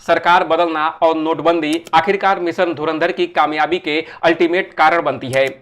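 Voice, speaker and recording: A man with a fairly high-pitched voice delivers scripted narration for a film-review video essay. He explains his points in an orderly way and uses rhetorical questions to move the argument along. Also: fairly bright tone.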